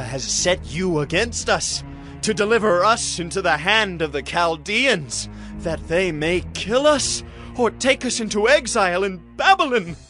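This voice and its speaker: An insolent, heated man of ancient Judah in an audio Bible drama. His voice is raised in pitch, tense and forceful, accusing, with music behind it.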